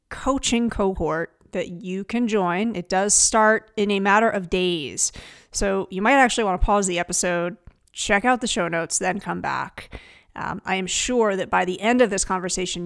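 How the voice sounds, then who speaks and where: A woman's voice: medium pitch, gravelly. A woman hosts a conversational podcast with a mid-range voice. Her phrasing is earnest and reflective, as she talks directly to listeners and guest. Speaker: podcast host.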